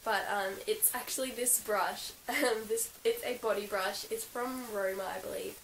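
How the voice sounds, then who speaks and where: A young Australian woman with a croaky, under-the-weather voice chats through her favourite gear, full of giggles, ums and likes. She sits a little back from the mic in an echoey room, with a faint hiss running underneath. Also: medium pitch, fairly even intonation, normal speed, a bit bright. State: croaky from being sick. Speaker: equestrian vlogger. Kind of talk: casual show-and-tell vlog. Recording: roomy, slightly distant with hiss.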